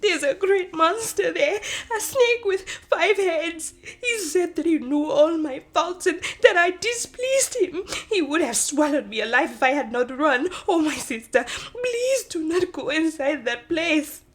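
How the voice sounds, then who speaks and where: A woman's voice: very high voice, animated, a little slow, hardly any pauses, voice pushed up. A woman voicing a proud young woman who is sobbing, pleading and hysterical with terror in a storybook tale.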